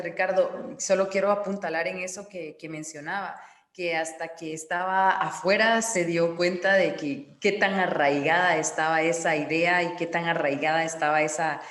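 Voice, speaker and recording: Moderator woman, lowish voice, polite and measured, roomy echo. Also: steady tone. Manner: courteous, measured, summarizing. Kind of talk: moderating a panel discussion.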